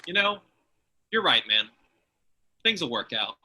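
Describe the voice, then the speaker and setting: A man plays a harried ordinary guy in a table read. His voice is very bright and forward, husky at the edges and a little high for a man. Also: sing-song, a little slow, full character voice. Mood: relieved. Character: Joe, harried everyman trying to save his job.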